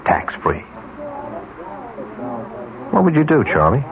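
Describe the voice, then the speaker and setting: An American actor playing a scheming, cynical ad executive in a 1970s radio drama, sardonic and given to drawn-out rhetorical musing. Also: male voice, medium pitch, huge pitch swings, noisy background, heavily acted. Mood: sly, musing. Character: scheming, cynical male ad executive, slyly confident. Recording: dull lo-fi 1970s radio recording.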